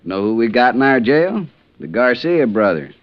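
Actor as animated, folksy Texas Ranger captain. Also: male voice, mid-range voice, moderate pace, natural voice. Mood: grumbling, indignant. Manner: folksy, emphatic. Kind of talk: 1950s radio western acting. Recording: muffled old radio recording.

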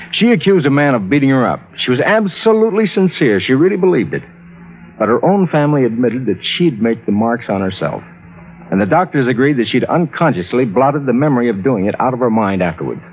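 An actor playing a rational, skeptical lawyer who narrates and argues in a measured, earnest way, in the style of mid-century radio drama. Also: male voice, medium pitch, very animated, breathy edge. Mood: skeptical, pressing. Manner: measured, earnest storytelling, pressing in argument. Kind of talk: 1940s-50s radio drama acting and narration. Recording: tinny old radio recording, music behind.

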